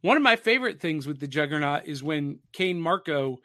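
A man co-hosting a podcast in casual, nostalgic pop-culture chat, often pausing to search for his words. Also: fairly high pitch, animated, sharp tone. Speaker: podcast co-host.

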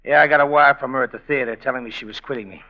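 A man's voice: mid-range voice, racing, lightly acted. A man playing an eager, lovestruck dancer in a 1940s radio play, on a dull old broadcast recording. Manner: eager, excitable.

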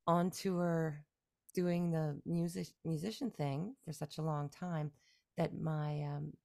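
A woman from Canada in her forties, a musician guest talking through her career in a relaxed, rambling way with fillers and restarts. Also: low-pitched, slow pace, gravelly. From Canada, near Toronto. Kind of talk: casual interview conversation.

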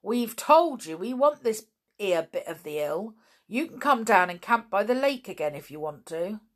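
A woman voicing a bad-tempered rough man from a circus. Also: mid-range voice, sing-song, normal speed, voice pushed up. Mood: surly.

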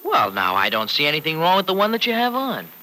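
An actor playing a fretful, protective, sardonic uncle in a folksy, hesitant way, in old-time radio serial style. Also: male voice, on the high side, wildly swooping pitch, fast talker, bright, forceful tone, exaggerated voice. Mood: folksy, bemused. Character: Uncle Freddy, gruff-hearted fretful family man, protective and sardonic. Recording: noisy vintage radio recording.

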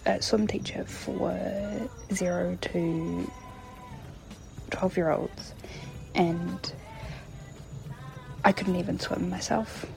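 A New woman leaves a voice message, speaking very slowly with hesitant pauses. Her low voice has a gravelly edge, and faint music plays behind her. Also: steady tone, darkish tone, poor audio. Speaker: caller. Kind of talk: voice message anecdote.

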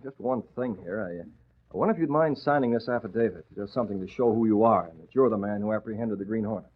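An actor playing a brisk, confident newspaper boss who is secretly a crimefighter, in smooth 1940s radio style. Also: male voice, on the low side, close to an everyday voice. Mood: smooth, polite. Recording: muffled vintage radio drama recording.

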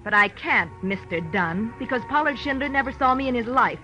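A suspicious young woman in a 1940s detective radio play. Her voice is high and sharp, brisk and sardonic, on a muffled vintage recording. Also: animated. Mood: suspicious, dismissive. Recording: dull lo-fi vintage radio, music behind.

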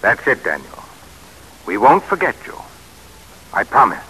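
An actor playing a pushy, scheming American politician who talks insistently and persuasively, in old-time radio drama style with an American accent. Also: male voice, medium pitch, very animated, unhurried, sharp tone, breathy edge, soft background music, full character voice, rough recording. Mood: conspiratorial, coaxing. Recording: hissy vintage radio broadcast recording.

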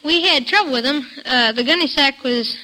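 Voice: high-pitched, moderate pace, pushed and bright, poor audio. A child quiz show panelist telling an anecdote in a hesitant way.

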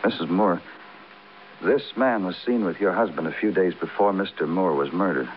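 An actor playing a world-weary American police detective, terse and brooding, in old-time radio crime drama style. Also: male voice, sits low, normal speed, slight background noise, close to an everyday voice. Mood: somber, probing. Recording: muffled vintage radio broadcast, music behind.

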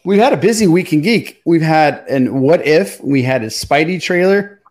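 A man's voice: a little high, expressive, normal speed, darkish tone. A man hosting a pop culture podcast, chatting informally and swearing now and then. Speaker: podcast host.

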